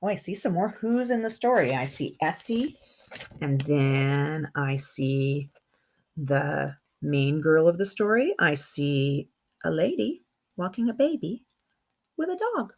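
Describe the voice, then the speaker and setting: A woman kindergarten teacher reading a picture book aloud to young children in an expressive way, with simple asides and questions. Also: low-pitched, slight background noise. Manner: animated, simple wording, pauses to comment and question.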